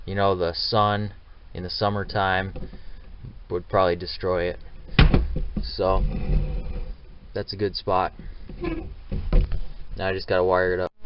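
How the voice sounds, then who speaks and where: Low-voiced man narrating a hands-on build. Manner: plainspoken, informal, addressing viewers as guys. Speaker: DIY truck restorer hosting his build videos. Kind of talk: casual hands-on how-to narration. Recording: dull lo-fi camera audio, light noise.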